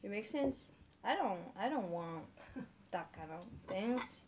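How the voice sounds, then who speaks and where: A woman's voice: mid-range voice, expressive, slow pace, darkish tone, a little hiss or hum. A teenage girl with a Japanese accent, speaking candid but broken English in a casual chat. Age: teens. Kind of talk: casual conversation.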